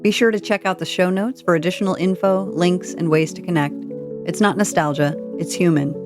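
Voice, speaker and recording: A woman's voice: fairly low pitch, steady tone, a little fast, music in the background. A woman podcast host delivering scripted narration, reading aloud and reflecting on what she reads.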